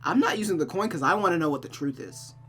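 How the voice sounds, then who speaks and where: A woman's voice: lowish voice, expressive, fast talker, a bit bright. A woman reading a visual novel aloud as host, narrating the story with casual asides. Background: music.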